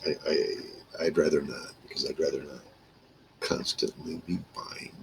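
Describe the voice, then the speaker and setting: A man in his sixties with a gravelly, husky voice, telling rambling, salty stories in a casual monologue to camera. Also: rumbling low, steady tone, a little slow, slight background noise, rough recording. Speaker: vlogger. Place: small wood-paneled room indoors.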